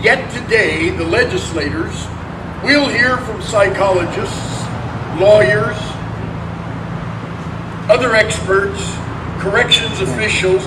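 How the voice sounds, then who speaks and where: A man as a defiant high-voiced prisoner hunger striker. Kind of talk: dramatic reading of a written statement. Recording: echoey room, distant mic, clear noise.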